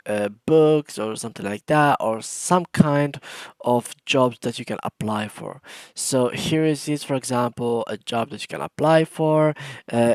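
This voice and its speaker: A man's voice: medium pitch, no room sound. A man with a Moroccan accent giving a casual screen-share tutorial, explaining informally with some hesitation and repetition. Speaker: tutorial host.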